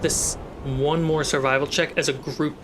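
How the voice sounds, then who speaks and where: A man working as game master, narrating a tabletop fantasy game with deliberate, dramatic storytelling pauses. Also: fairly high pitch, little pitch movement, heavy background noise. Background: storm and ship sound effects.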